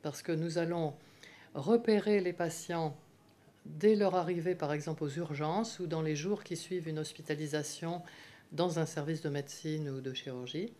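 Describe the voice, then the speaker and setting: Low-voiced woman, measured hesitant explaining. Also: mellow. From Paris, France. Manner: measured, frequent hesitations with euh. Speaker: professor of geriatric medicine. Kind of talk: explanatory interview answers.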